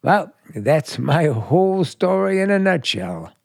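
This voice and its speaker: A folksy, forward hotelkeeper in a radio drama. He talks slowly in a creaky, very lively voice, casual and flirtatious. The sound is close and dry. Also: a little high, no echo, exaggerated voice. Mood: chatty, probing. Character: folksy, forward middle-aged hotelkeeper, a flirtatious male stranger. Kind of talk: radio play acting.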